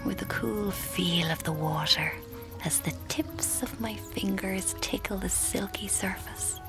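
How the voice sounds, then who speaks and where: Slow, breathy young Irish dreamer. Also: female voice, lowish voice. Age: young adult. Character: dreamy, self-deluded young Irish woman, aspiring writer. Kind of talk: intimate first-person radio drama narration.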